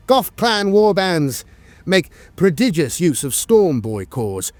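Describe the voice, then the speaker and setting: A man's voice: a little high, a little mellow, dry recording. A man reading scripted fantasy lore narration in a dramatic, emphatic storytelling manner.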